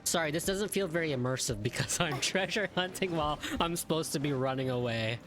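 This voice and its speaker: A man's voice: fairly high pitch, a little fast. A man giving casual commentary while he plays a game. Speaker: gaming video creator playing and reacting. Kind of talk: casual gameplay commentary with dialogue read aloud. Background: game music.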